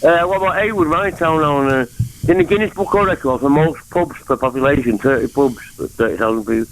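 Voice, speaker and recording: A man's voice: on the high side, a little fast, poor audio. A man with a Mancunian accent rambling from topic to topic on a phone-in call. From England. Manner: rambling, jumps between topics. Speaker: caller.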